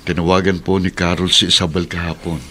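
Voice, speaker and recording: A man playing a calm, respectful grown son in a radio drama, speaking evenly and deferentially. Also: deep voice, a little fast, background noise, lightly acted. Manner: even, deferential. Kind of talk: Filipino radio drama acting. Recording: loud music bed under voice.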